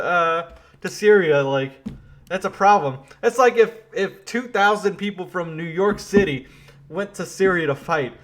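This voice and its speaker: A man from Louisiana giving casual political commentary, animated and quick to laugh, with frequent restarts. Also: high voice.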